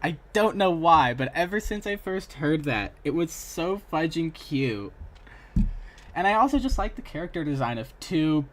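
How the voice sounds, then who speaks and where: A man's voice: fairly high pitch, sing-song, moderate pace. A young man who is a streamer, chatting casually and at rambling length as he reacts to videos for his live viewers. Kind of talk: casual livestream chat and reaction.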